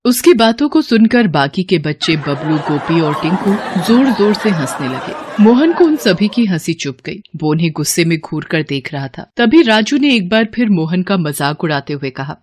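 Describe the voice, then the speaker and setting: A woman's voice: fairly low pitch, sing-song, normal speed, hardly any pauses, background noise. A woman narrating a scripted horror story for children as a storyteller.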